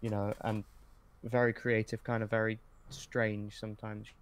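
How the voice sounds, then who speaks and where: A young man talking casually as a podcast guest, looking back in a hesitant, low-key way with pauses mid-sentence. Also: flat delivery, a little hiss or hum. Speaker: podcast guest, script writer. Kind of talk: casual reminiscing in interview.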